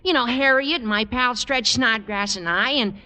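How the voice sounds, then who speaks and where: An actor playing an eager, gawky American teenage schoolboy with a cracking adolescent delivery in an old radio comedy. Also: male voice, very high voice, a little slow, sharp tone, smooth, exaggerated voice. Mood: hopeful. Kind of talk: 1940s-50s radio comedy acting. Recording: old radio broadcast, long reverb.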